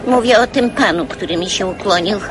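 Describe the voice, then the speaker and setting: A woman's voice: medium pitch, a little fast, background noise, natural voice. An actress playing a stern ninety-year-old grandmother in a radio play, curt and opinionated. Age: older.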